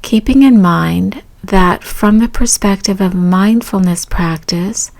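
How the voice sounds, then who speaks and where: Slow, creaky-voiced woman guiding meditation. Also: medium pitch. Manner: gentle, measured phrasing with pauses. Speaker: meditation teacher. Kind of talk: guided meditation narration.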